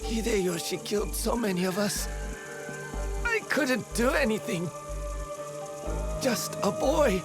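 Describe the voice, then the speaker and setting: A woman voicing a dying young boy in a video game, weak, halting, frightened and sorrowful. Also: mid-range voice, expressive. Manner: faint, halting, slow. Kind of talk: cinematic video game acting. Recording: loud music over the voice.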